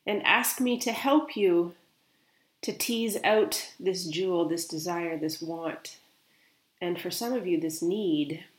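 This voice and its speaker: A woman speaking as a spiritual medium, gently and unhurriedly relaying another's words in a guided meditation. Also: lowish voice, takes it slowly, echoey room.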